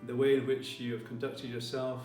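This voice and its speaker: A man giving a formal ceremonial address as a college leader, with measured, deliberate phrasing. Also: mid-range voice, little pitch movement, a little mellow. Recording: roomy, distant mic, faint music behind.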